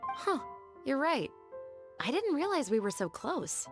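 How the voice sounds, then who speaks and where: A woman's voice: high-pitched, big pitch swings, measured pace. A woman playing an earnest, resolute young patrol officer in a video game, speaking in a composed, formal way. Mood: surprised. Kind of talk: video game cutscene acting. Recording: game music behind the voice.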